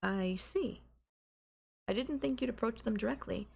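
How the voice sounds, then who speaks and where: A woman playing a doting, obsessive and calmly manipulative girlfriend in an intimate ASMR audio roleplay, coaxing and softly teasing. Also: on the high side, measured pace, vocal fry, slightly rough, exaggerated voice. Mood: calm, probing. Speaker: voice actor.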